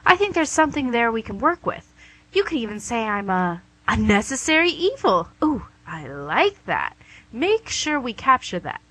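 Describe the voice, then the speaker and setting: A woman's voice: fairly high pitch, very animated, normal speed, pushed and bright, full character voice, rough recording. A woman playing a smug, coaxing female devil in a comic radio play, with a theatrical, glib delivery. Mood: self-satisfied. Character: smug, wheedling, image-conscious female devil called Lucy.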